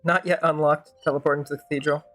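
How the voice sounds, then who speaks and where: A woman's voice: deep voice, steady tone, quick pace, fairly bright tone. A woman streaming a game, giving casual, wry and sardonic live commentary.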